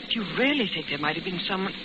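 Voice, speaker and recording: An actress playing an anxious young woman passenger in a 1940s radio suspense drama, with dramatic, halting phrasing. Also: medium pitch, animated, heavy background noise, natural voice. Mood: haunted, anxious. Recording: muffled vintage radio recording with music.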